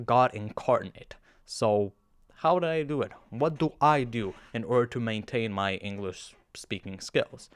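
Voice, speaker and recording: Low-voiced young man, chatty and wry. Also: clear, resonant tone, dry recording. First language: Romanian. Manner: chatty, self-deprecating, with frequent restarts and fillers. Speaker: YouTuber. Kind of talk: casual talking-to-camera vlog.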